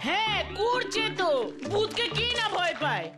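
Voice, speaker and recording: A woman's voice: very high-pitched, very animated, normal speed. A woman voicing a cowardly, whining, grumbling young boy in a children's cartoon. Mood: scared, whining. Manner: whiny, fretful. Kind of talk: cartoon voice acting. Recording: loud music behind the voice.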